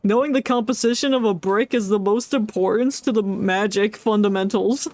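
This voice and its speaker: A woman's voice: mid-range voice, normal speed, darkish tone, no echo. A woman streaming a game with casual, joking live commentary and playful reactions. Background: faint game music.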